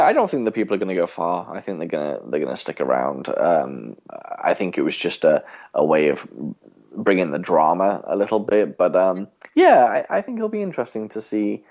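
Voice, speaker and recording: A man's voice: deep voice, darkish tone. A man co-hosting a podcast, chatting casually about television and often rephrasing as he goes. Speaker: podcast co-host. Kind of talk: casual TV discussion chat.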